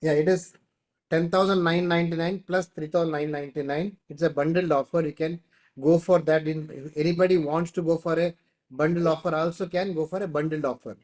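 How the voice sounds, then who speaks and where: A man's voice: a little high, steady tone, brisk, darkish tone. A man with an Indian accent hosting an online class, explaining and answering questions, often repeating himself. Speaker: host of an online training class. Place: online video call.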